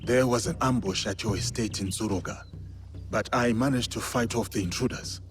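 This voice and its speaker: A man's voice: lowish voice, over music, close to an everyday voice. A man as a solemn, courteous warrior. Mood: resolute. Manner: formal, restrained, measured. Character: solemn, courteous male warrior seeking answers. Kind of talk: dramatic video game cutscene acting.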